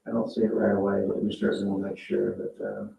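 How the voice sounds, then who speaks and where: Gravelly man reporting at an echoey meeting. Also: lowish voice, big pitch swings, fast talker. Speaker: town utility official presenting spending items to council. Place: indoor council meeting room. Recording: echoey, distant, dull meeting-room audio, faint noise.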